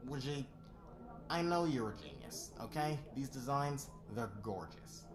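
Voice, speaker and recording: A man acts out a skeptical male board member in an audio roleplay. He sounds blunt and reluctant at a middling pitch. A crowd can clearly be heard behind him. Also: big pitch swings, a little slow.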